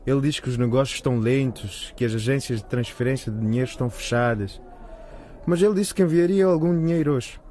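A man's voice: mid-range voice, fairly quick, dark tone, natural voice. A man playing an evasive, worried teenage schoolboy in a colloquial radio drama. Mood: anxious. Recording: faint background noise.

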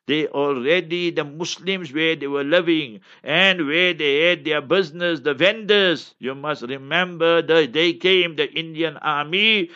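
Bright, forceful, high-pitched man preaching politics. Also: a little slow, few pauses. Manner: emphatic, blunt, scornful; acts out quotes and rhetorical questions. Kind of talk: fiery political commentary with religious quotations. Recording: dull lo-fi audio.

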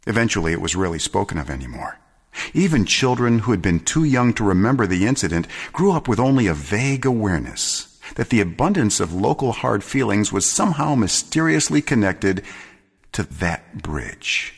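A man giving a dramatic scripted story reading, measured and solemn, with deliberate pauses and expressive phrasing. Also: on the low side, breathy edge. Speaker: storyteller, narrator.

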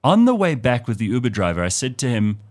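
A man with a South African accent hosting a radio talk show, telling stories in a lively, animated way and putting on the voices of the people he quotes. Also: mid-range voice, fast talker. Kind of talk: casual talk radio chat.

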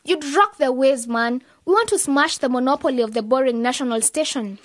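A woman's voice: high-pitched, fairly quick, no echo, studio quality, natural voice. An actress playing a confident, idealistic young woman leading a youth club in a radio drama, with a persuasive, upbeat manner. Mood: enthusiastic, persuasive.

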